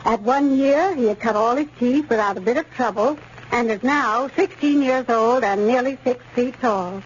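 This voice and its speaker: A woman's voice: a little high, normal speed, close to an everyday voice. An actress playing an earnest, grateful mother in a 1930s radio advertising testimonial. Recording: noisy muffled 1930s radio recording.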